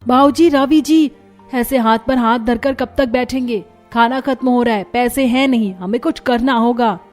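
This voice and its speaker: Woman doing a young bahu in a cartoon, cheery n practical, bossing the family briskly, bit of music behind. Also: fairly high pitch, a little mellow, close to an everyday voice. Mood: urging. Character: resourceful, cheerful young daughter-in-law leading her family. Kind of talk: Hindi cartoon story dialogue.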